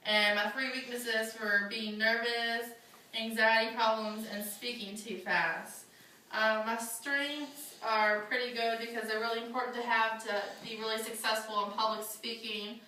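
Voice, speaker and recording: Young female student, nervous but earnest speech delivery; bright voice, far camera, echoey classroom. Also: fairly high pitch, level tone, unhurried, slight background noise. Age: young adult. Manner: earnest, slightly nervous, with fillers.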